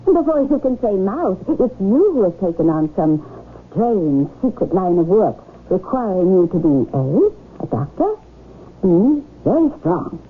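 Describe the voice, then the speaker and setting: A suspicious young wife in an old radio play. She is wry and pointed, with a lively, varied pitch, heard through a tinny broadcast with faint noise. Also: measured pace. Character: sharp-tongued, suspicious young English wife, wryly worried. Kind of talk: old-time radio drama acting.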